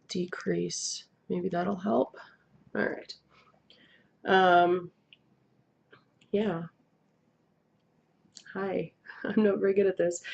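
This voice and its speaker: Sniffly woman with a gravelly low voice. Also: a little mellow. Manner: rambling, hesitant with many ums, self-deprecating. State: sniffly from a cold. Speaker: knitting podcast host. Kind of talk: casual video podcast chat. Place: living room, seated on a couch indoors. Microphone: webcam at desk distance.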